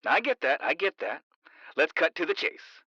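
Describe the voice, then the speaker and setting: A man's voice: on the high side, expressive, normal speed, bright, forceful tone, breathy edge, no room sound, lo-fi sound, exaggerated voice. An actor playing a late-night radio talk show host who hypes his audience in a showy way.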